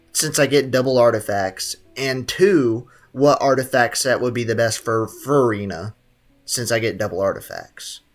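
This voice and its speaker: Joking young gaming streamer. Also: male voice, medium pitch. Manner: joking, reads chat aloud.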